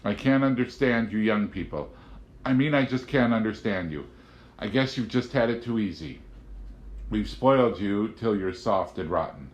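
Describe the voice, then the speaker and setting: An exasperated middle-aged patriot of an agent, acted out by a male reader, preaching forcefully in audiobook style. His pitch pushes up above the narration and he gets loud, in a room with noticeable echo. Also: expressive, moderate pace, muffled recording, natural voice. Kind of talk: audiobook character voice. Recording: roomy, echoing home recording.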